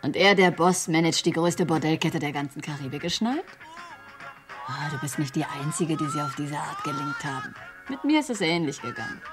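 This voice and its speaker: A woman's voice: low-pitched, lively intonation, normal speed, music in the background. A woman playing a brash, cynical, worldly prostitute in a radio drama, blunt and mocking, talking in slang. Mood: chatty, knowing.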